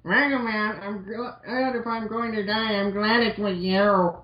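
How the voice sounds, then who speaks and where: A man voicing a sweet, sentimental girl robot heroine with drawn-out mock melodrama, in an amateur dramatic reading. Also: sits high, fairly even intonation, sharp tone, voice pushed up. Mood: dying, tender. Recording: dull, roomy home recording.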